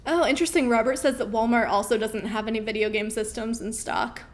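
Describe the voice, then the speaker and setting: A woman in her thirties chats casually through viewer questions on a live stream, upbeat and full of ums. Her voice sits fairly high and is starting to wear out. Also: steady tone, a little fast. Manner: chatty, upbeat, with frequent ums. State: losing her voice.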